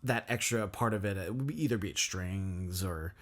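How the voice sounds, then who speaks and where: A man's voice: low voice, fairly bright tone. A man hosting a music podcast, chatting casually with a guest and often stalling on fillers and restarts. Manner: rambling, halting with many fillers and restarts. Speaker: podcast host. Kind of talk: casual interview chat.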